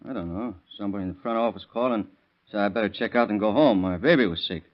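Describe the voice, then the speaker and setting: A hot-tempered young father played by a man from an old radio crime drama. His voice is fairly low, blunt and defensive, quick to get excited. The recording is dull, vintage and lo-fi. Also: close to an everyday voice. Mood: blunt, grumbling.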